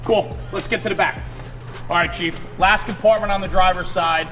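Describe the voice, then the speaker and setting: A man's voice: high-pitched, fairly even intonation, normal speed, bright, forceful tone. An American man hosting an on-location interview, enthusiastic and affirming as he guides the tour. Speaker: host, interviewer. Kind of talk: on-location interview for a video series. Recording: music clearly behind the voice.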